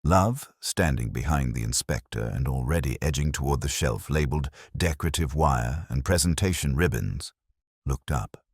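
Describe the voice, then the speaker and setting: A man's voice: extremely low pitch, expressive, normal speed, slightly rough, no echo. A man narrating a cosy mystery story from a script in a dry, wry manner.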